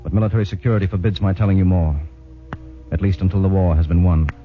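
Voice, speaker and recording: A man's voice: deep voice, close to an everyday voice. Guy acting as british ww2 commando telling his war story, serious and dramatic, old muffled radio drama w/ music. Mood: resolute. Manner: solemn, dramatic, measured delivery. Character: British commando veteran recounting a daring raid. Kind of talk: 1940s radio drama narration. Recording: dull 1940s radio recording with music.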